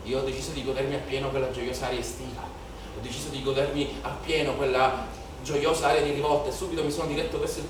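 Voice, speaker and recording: Guy acting a young protester onstage, highish voice, haunted and declamatory, super echoey and far from the mic. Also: close to an everyday voice. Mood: elated, rising. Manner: declamatory, incantatory repetition, swelling from hushed to shouted. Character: young male protester, impassioned, haunted, recounting street riots. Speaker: stage actor performing a monologue. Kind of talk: theatrical dramatic monologue in Italian political theatre. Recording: very echoey theatre, distant mic, noisy.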